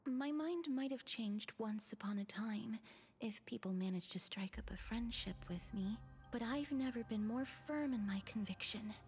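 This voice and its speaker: A woman playing a gentle, solemn, ancient holy maiden of death, speaking softly and formally in a video game. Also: fairly high pitch, moderate pace, close to an everyday voice. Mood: solemn resolve. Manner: soft, grave, formal. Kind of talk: dramatic video game voice acting. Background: game music.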